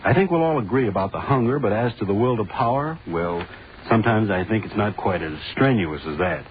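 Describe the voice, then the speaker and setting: A man introducing a drama as host in scripted vintage radio style, measured and musing. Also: lowish voice, expressive. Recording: tinny, noisy vintage radio recording.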